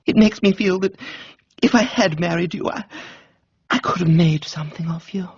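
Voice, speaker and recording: Male reader as bossy young woman, upper-class English, haughty, excitable, raised pitch, breathy rasp, radio storybook. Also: normal speed, no echo, lo-fi sound. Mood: imperious. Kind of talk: dramatised audiobook reading.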